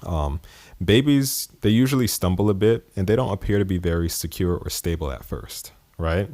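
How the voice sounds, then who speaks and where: Deep-voiced man hosting a casual podcast. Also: animated, normal speed, a little mellow, no echo. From East Coast of the United States. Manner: conversational, rambling with fillers and chuckles. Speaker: podcast host.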